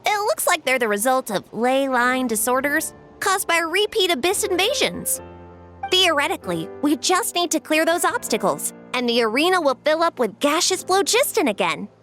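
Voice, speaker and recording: A knowledgeable arena overseer who lays out the problem in a matter-of-fact way. Her voice is high, bright and lively. Faint game music plays under her. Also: normal speed. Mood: explaining. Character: brisk, knowledgeable woman overseeing the trial arena. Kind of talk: video game voice acting.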